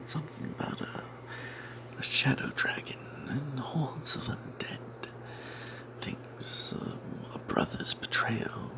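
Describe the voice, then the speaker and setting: A man narrating a fantasy role-playing tale in first person as ASMR, in a breathy, secretive whisper, rambling wryly as if not to be overheard. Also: medium pitch. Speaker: storyteller narrating a tabletop game tale.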